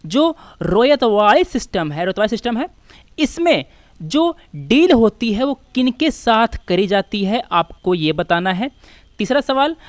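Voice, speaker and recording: A woman's voice: medium pitch, no echo. A woman with an Indian accent teaching an exam prep lesson, switching between Hindi and English and often asking questions that she answers herself. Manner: didactic, asks and answers own questions. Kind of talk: instructional lecture walking through exam questions.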